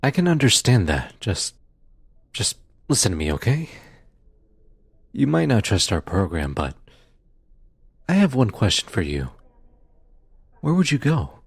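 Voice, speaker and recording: Guy playing a firm detective, real deep raspy voice, dry close sound, formal and measured, audio drama. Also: sing-song, dry recording, heavily acted. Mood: measured, persuasive. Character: firm, measured male police detective, matter-of-fact, unyielding. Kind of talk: audio roleplay drama acting.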